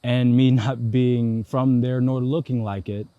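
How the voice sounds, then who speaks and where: A man's voice: medium pitch, flat delivery, measured pace, no room sound, phone-quality sound. A young man vlogging his travels in a casual, slangy and reflective way, talking straight to the viewer. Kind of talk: casual vlog narration. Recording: loud background noise.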